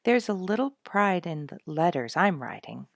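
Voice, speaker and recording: A woman's voice: fairly low pitch, big pitch swings, normal speed, gravelly, no echo, clean studio sound. A woman voicing a busy, self-satisfied mother in a read-aloud story, speaking with emphasis. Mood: smug. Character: busy, smug mother, a hesitant animal lover.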